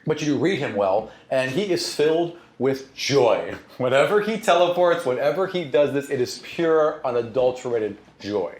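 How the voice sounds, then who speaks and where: A man's voice: medium pitch, lively intonation, normal speed, few pauses, darkish tone, roomy sound. A man working as game master, narrating a tabletop game in a casual, conversational way and repeating phrases for emphasis.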